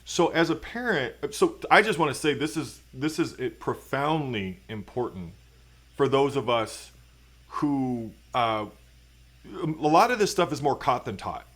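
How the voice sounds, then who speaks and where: A man's voice: mid-range voice, very animated, measured pace, a bit bright. A man hosting an interview, carefully restating his guest's points. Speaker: host. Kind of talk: conversational interview.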